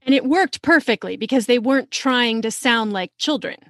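A woman interviews a guest as a podcast host in a casual, conversational way. Her voice is clearly high in pitch, and it is recorded dry, with very little room sound. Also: normal speed, dry recording.

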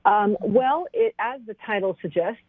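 A woman's voice: medium pitch, big pitch swings, no room sound. Woman on a tinny phone line. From born in Iraq, raised in Kentucky. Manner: halting, full of restarts and fillers. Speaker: author guest. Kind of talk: casual interview answers.

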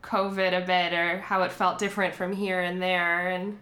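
Chatty bright woman host. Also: fairly low pitch, little pitch movement, normal speed. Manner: chatty, laughs easily. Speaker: podcast host. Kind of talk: casual interview chat. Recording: roomy echo.

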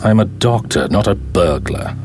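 An actor playing a pompous, threatening older institute director in an audio drama. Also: male voice, low voice, expressive, normal speed, a little mellow. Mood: defensive, irritated. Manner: pompous, indignant.

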